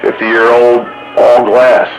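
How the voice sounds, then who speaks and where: A man's voice: mid-range voice, monotone, takes it slowly. A man chatting casually and plainly over CB radio, with some dry banter. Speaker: CB radio roundup regular. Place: recorded from a CB radio stream. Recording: roomy, noisy CB radio recording.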